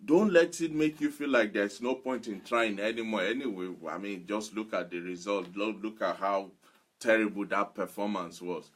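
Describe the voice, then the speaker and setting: A man giving a motivational sermon, speaking emphatically and repeating phrases deliberately for weight. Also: on the low side. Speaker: motivational preacher.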